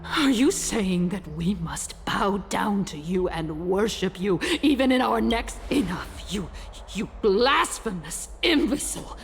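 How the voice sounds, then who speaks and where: A woman's voice: mid-range voice, lively intonation, unhurried, creaky, background noise. An actress playing a proud, indignant female council elder, sputtering with outrage in a game cutscene. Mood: outraged.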